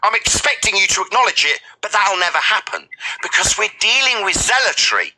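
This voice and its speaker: Scolding man, high, forceful voice. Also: expressive, a little fast, poor audio. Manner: scolding, domineering, repetitive berating. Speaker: debate host. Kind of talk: heated argumentative debate.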